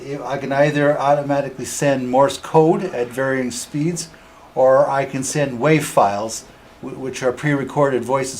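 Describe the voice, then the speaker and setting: A man informally demonstrating equipment as a club radio operator, explaining in a halting way with restarts. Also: mid-range voice, darkish tone.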